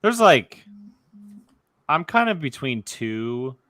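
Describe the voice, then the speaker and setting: A young adult man with a bright, forceful voice hosts a casual group conversation. His pitch sits in a middle male range, and he speaks informally, like someone running a show among friends. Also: very animated, takes it slowly. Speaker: host running a movie draft show.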